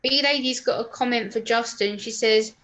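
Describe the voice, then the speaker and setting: A woman's voice: a little high, normal speed, fairly bright tone. A woman in her early thirties in a casual group chat, chatty and reading viewer messages aloud. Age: 30s. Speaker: stream co-host reading comments.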